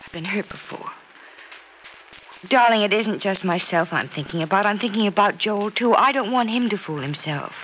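An actress playing a gentle, self-doubting, affectionate sister in an old-time radio drama, with a tender, expressive delivery. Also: female voice, mid-range voice, moderate pace, lightly acted. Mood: doubtful. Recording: noisy vintage radio recording.

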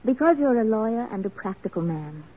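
Casting: an impassioned, unyielding lady reformer; husky, higher-set voice, formal insistence, muffled vintage radio drama. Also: big pitch swings, a little fast. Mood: resolute. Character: earnest, unyielding woman reformer pleading for imprisoned women. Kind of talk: classic radio drama acting.

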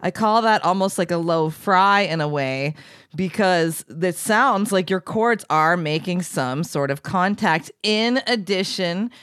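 A woman's voice: on the low side, measured pace, a bit bright, clean tone, dry recording, clean studio sound. A woman who works as a vocal coach, hosting an interview and talking about vocal technique with technical terms. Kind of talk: conversational interview.

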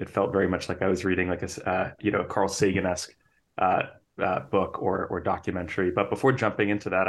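A young man hosting an interview podcast, talking hesitantly with lots of restarts and fillers. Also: sits low. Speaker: podcast interviewer, assistant editor.

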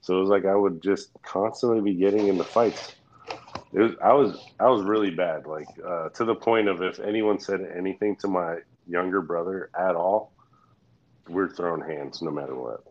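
Brief: low-voiced man, blunt, rambling, casual swearing; dull lo-fi audio. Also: normal speed, darkish tone, a little hiss or hum. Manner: rambling, frank, swears freely, laughs often. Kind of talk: casual chat.

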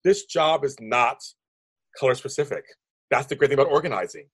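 A man in his fifties with a deep voice, speaking as a guest in an interview, rambling and emphatic, often restarting his sentences. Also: brisk, breathy edge, no room sound, clean studio sound. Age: 50s. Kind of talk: animated conversational interview.

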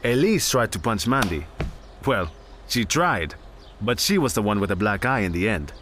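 A man's voice: lowish voice, very animated, normal speed, a bit bright. An actor playing a blunt, exasperated male shop owner and wry storyteller in an audio drama. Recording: clear background noise.

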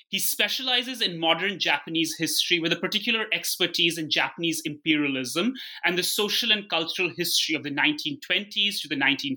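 A man speaks as a podcast host in a bright, crisp-edged voice. His delivery is prepared and orderly, suited to introducing a guest and posing interview questions. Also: a little high.

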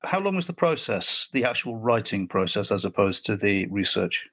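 Casting note: male podcast host, low voice, inquisitive and conversational, dry close sound. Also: sing-song, moderate pace, a bit bright, no room sound. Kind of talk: podcast interview.

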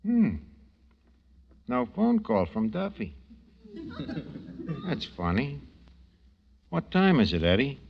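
An actor playing a wisecracking, gloomy New York tavern manager in a 1940s radio comedy, slangy and grumbling with a New York accent. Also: male voice, mid-range voice, slow, vocal fry, long pauses, exaggerated voice. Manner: slangy, ungrammatical, grumbling deadpan. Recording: muffled, noisy old radio recording.

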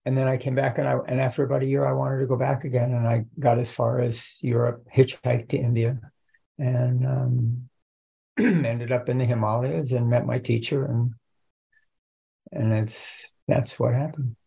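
Older man, native Italian speaker, gravelly, mellow, husky, reflective guest on a video call. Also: medium pitch, level tone, low-quality recording. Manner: unhurried, reflective storytelling with soft laughs. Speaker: guest, religion professor. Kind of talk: casual conversation.

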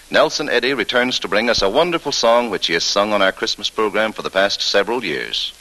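A man's voice: on the low side, sharp tone, rough recording. A man hosting an old-time 1940s radio show with formal, polished, ceremonious scripted announcements. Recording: vintage 1940s radio broadcast recording.

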